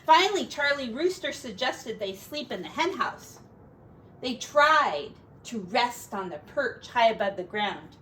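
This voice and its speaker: A woman teacher reading a picture book to young children expressively, with gentle, simple asides, recorded on a device set back from her. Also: fairly high pitch, big pitch swings, unhurried. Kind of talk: picture-book read-aloud with chatty asides. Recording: distant mic with faint background noise.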